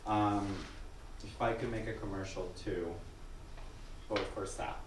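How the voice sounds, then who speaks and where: Man from Mexico, panel moderator, low voice, noisy echoing hall. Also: steady tone, measured pace. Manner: says he has a loud voice; earnest. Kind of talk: public panel introduction. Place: museum gallery. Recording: echoey room, distant mic, noisy.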